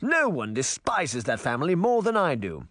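A man voicing a smooth, defensive small-town mayor in an audiobook, with a forced polite tone. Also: on the high side, huge pitch swings, moderate pace, fairly bright tone, dry recording, clean studio sound. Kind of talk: audiobook character voice.

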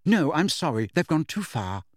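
Man voicing a bossy, high-pitched teenage schoolgirl. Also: very animated, moderate pace, dry recording, clean studio sound. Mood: furious. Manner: forceful, scolding. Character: bossy indignant teenage schoolgirl, righteous and stern.

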